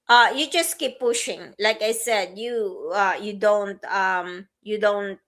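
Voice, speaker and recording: A woman from the Philippines co-hosting a live stream, chatting slowly with many fillers and restarts. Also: mid-range voice. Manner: slow, warm-hearted, frequent fillers and restarts. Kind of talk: casual livestream chat. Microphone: livestream setup.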